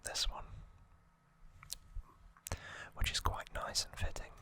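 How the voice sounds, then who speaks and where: ASMR host, whispered, breathy, bright, gentle, unhurried, informal storytelling.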